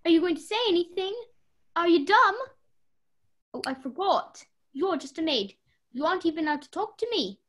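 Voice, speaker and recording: A woman acting a snobbish, high-strung married lady in a mystery radio play, emotional and imperious. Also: lively intonation, moderate pace. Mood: angry, distraught.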